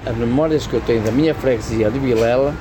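A man, interviewed outdoors, speaking slowly in plain lists. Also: mid-range voice, over music. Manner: slow, simple listing. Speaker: interviewed local resident. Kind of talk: street interview. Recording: outdoor street noise.

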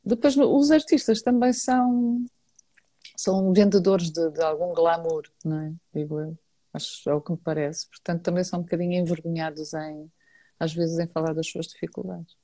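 A woman with a European Portuguese accent talks casually as a guest, often circling back and repeating herself mid-sentence. Her voice sits low and sounds warm and mellow. The recording is dry and close. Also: steady tone, moderate pace, no echo. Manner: hesitant, repeats words, many restarts. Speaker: singer and guest. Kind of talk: casual conversation.

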